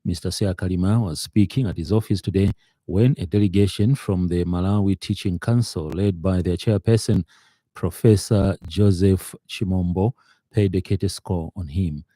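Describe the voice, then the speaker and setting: A man with a Zambian accent reading a scripted radio news bulletin in a measured, formal, slightly hesitant way. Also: deep voice, dark tone, dry recording. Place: radio studio.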